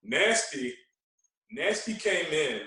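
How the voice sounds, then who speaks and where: A man talking casually as a podcast guest, telling stories in an animated way and repeating phrases for emphasis. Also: on the high side, slow pace. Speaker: guest, veteran radio personality. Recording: echoey room, distant from mic.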